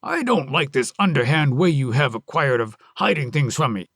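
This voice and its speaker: A storyteller voicing a brutal, greedy male highway robber in a bullying, shouting manner. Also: wildly swooping pitch, measured pace, no echo, studio quality, exaggerated voice. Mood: angry bullying.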